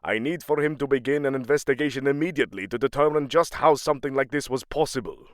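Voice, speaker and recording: A man's voice: medium pitch, fast, no echo, studio quality, close to an everyday voice. A man playing a commanding, bloodthirsty Norse god-king in a fantasy audio drama, taunting in formal, archaic phrasing.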